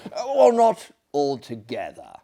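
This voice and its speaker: A man voicing a genial, pompous, slightly crazed French gentleman who runs a madhouse, emphatic and halting, in a theatrical storybook reading. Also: on the high side, huge pitch swings, heavily acted. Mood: genial, lofty. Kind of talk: theatrical audiobook character acting.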